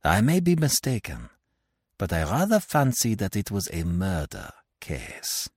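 A man voicing an older, self-assured Belgian male detective with a French-speaking Belgian accent and a gently ironic, emphatic, avuncular manner, in an audiobook. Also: lowish voice, wildly swooping pitch, measured pace, breathy edge, no room sound, studio quality, full character voice. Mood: musing, emphatic. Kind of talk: audiobook character voice.

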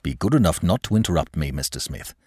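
A man's voice: very deep voice, big pitch swings, quick pace, a little mellow, slightly rough, dry recording, heavily acted. This is a man putting on a stern, pompous bank manager from a storybook. He scolds in stiff, indignant formal phrases that rise and fall sharply, recorded very dry. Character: pompous, exasperated male bank manager, stern and easily angered. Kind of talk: audiobook character dialogue.

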